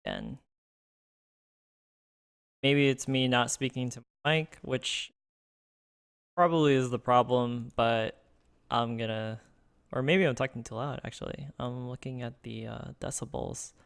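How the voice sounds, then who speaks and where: A man in the middle pitch range with a smooth, clear voice speaks close into a desk microphone. He streams a card game and thinks aloud, halting often with fillers and restarts. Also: fairly even intonation, fairly bright tone. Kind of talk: casual gameplay streaming.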